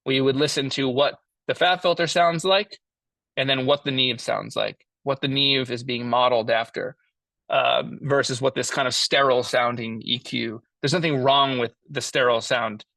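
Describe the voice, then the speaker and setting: Crisp, gravelly man teaching. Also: medium pitch, fairly even intonation, sharp tone, dry recording. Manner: halting, thinking aloud. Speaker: mixing engineer teaching a class. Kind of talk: informal lesson, explaining audio tools.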